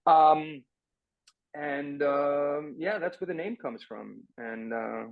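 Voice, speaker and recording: A man's voice: on the high side, slow, vocal fry, no echo, highs cut off. A man on a video call, telling a long personal story with a lot of fillers. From Germany. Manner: rambling, many fillers. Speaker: actor, interview guest. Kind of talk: casual conversation. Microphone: laptop video call.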